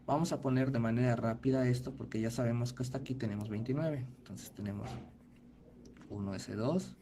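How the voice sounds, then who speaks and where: A man's voice: mid-range voice, steady tone, brisk, background noise. A male teacher giving an online class, explaining methodically step by step and checking in with his students.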